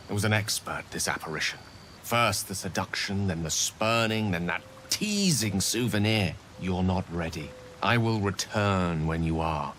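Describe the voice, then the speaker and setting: A man's voice: sits low, a bit bright, slight background noise. A man playing a genteel, eloquent companion in a video game, articulate and florid in an intimate way. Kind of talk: video game character acting. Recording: faint music behind.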